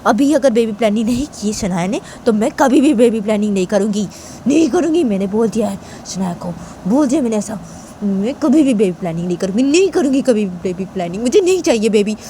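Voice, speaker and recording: A woman's voice: mid-range voice, lively intonation, fast, warm tone, slight background noise, natural voice. A woman voicing an angry, stubborn young woman in a story, scolding insistently and repeating herself. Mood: angry, sulking.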